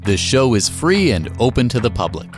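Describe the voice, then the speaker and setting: A man's voice: mid-range voice, big pitch swings, normal speed, faint music behind. A man presenting a local radio programme in a scripted host style.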